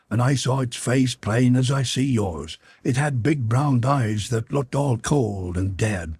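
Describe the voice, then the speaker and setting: A man's voice: mid-range voice, darkish tone, breathy edge, no echo. A man voicing a sardonic, plain-spoken crewman in an audiobook, grim and direct. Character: sardonic crewman giving grim eyewitness testimony. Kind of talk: audiobook character voice.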